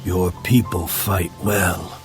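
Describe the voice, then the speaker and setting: An actor playing a proud leader guarding his people in a video game, grave and formal with deliberate phrasing. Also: low voice, fairly even intonation. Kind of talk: dramatic video game acting. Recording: music behind the voice.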